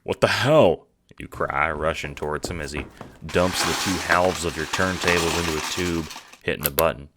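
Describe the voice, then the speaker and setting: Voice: very deep voice, steady tone, moderate pace, background noise. A narrator reading second-person audio drama narration in a storytelling style.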